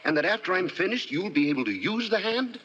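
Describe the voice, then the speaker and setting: A man's voice: high-pitched, lively intonation, fast talker, fairly bright tone, highs cut off, a little hiss or hum. A man acting in an old-time radio drama, playing a worried, cautious doctor with a hesitant, earnest, uneasy manner. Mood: shocked, incredulous. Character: worried, cautious male doctor under duress.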